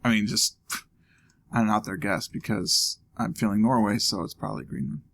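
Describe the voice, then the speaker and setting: Gravelly man musing over a game. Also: fairly low pitch, fairly quick, a bit bright. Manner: thinking aloud, musing and joking. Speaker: let's play host. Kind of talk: casual gameplay commentary.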